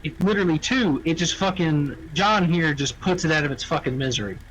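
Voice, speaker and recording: A man's voice: on the high side, fairly quick, fairly bright tone, slight background noise, low-quality recording. A man talking casually in an online roleplay game, emphatic and repetitive, swearing freely. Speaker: roleplay gamer, faction member.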